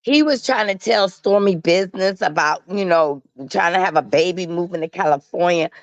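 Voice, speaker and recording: A woman's voice: fairly low pitch, normal speed, no echo. A woman hosting a casual gossip show, giving opinionated commentary in an emphatic, sassy, colloquial way. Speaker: talk show host. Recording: dull, lo-fi online audio.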